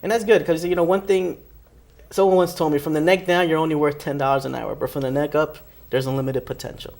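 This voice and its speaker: A young man with a slightly high voice answers questions as an interview guest. He grew up in New Jersey and talks agreeably and conversationally, often repeating a phrase for emphasis. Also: steady tone, fast, gravelly, darkish tone. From born in Ecuador, raised in Elizabeth, New Jersey. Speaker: interview guest, public servant at a training center.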